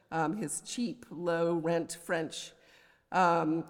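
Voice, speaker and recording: The long reverb of a lecture hall surrounds an American woman's slightly low voice. She lectures like a professor of poetry, in a scholarly way that makes room for informal, conversational asides. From United States. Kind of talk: academic lecture. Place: large indoor lecture hall.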